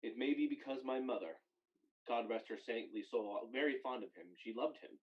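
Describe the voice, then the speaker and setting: Genial Victorian gentleman played by a man, dull audio. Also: medium pitch, normal speed, close to an everyday voice. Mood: amused, forgiving. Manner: genial, earnest. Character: good-natured, charitable Victorian gentleman, forgiving nephew. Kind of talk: radio play acting.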